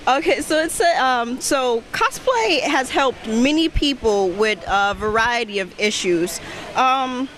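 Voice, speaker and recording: A woman's voice: fairly high pitch, animated, slow pace, fairly bright tone. Woman interviewer with faint background noise. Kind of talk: casual convention interview, reading questions.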